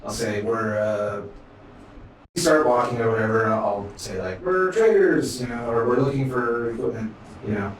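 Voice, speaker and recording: A man's voice: mid-range voice, normal speed. A man playing a tabletop game, casually talking through what his character says and does. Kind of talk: casual improvised role-play. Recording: very echoey, distant mic, noisy.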